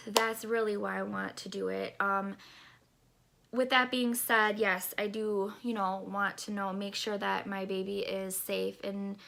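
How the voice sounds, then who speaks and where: A woman in her thirties talks casually into her camera from a small bedroom, rambling with lots of fillers and restarts. Her voice has a gravelly fry, and her nose sounds stuffy and congested. Her pitch sits in an ordinary middle range. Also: fairly bright tone. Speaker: vlogger. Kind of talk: casual pregnancy update vlog.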